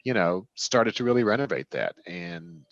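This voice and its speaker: A man in his fifties from Washington, D.C., a real estate developer answering interview questions in a thoughtful way with plenty of pauses and restarts. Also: deep voice, sing-song, no echo, highs cut off. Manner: thoughtful, many fillers and restarts.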